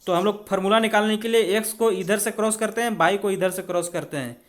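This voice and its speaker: High-pitched Indian man teaching. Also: fast talker. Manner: conversational, checks in with rhetorical questions. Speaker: exam coaching teacher. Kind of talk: spoken maths and chemistry tutorial.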